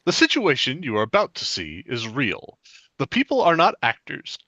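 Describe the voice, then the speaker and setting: A man's voice: medium pitch, wildly swooping pitch, normal speed, sharp tone, dry recording, muffled recording, exaggerated voice. A man playing a solemn court show announcer in a parody, reading scripted lines in a formal, emphatic way. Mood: formal, dramatic. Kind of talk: scripted TV announcement, read aloud.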